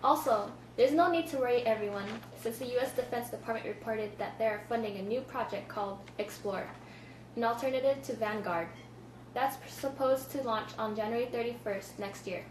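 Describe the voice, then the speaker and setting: Young female student anchor, slightly high pitch, reading news copy, distant echoey mic. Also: a little fast. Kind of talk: scripted amateur newscast. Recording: echoey room, distant mic, clear noise.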